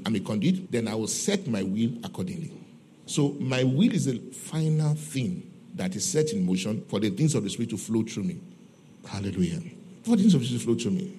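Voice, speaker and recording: A man preaches with a Nigerian accent in a mellow, mid-pitched voice that rises and falls freely. He teaches emphatically, repeating key phrases and posing questions that he answers himself. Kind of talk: teaching sermon with scripture readings and questions to listeners.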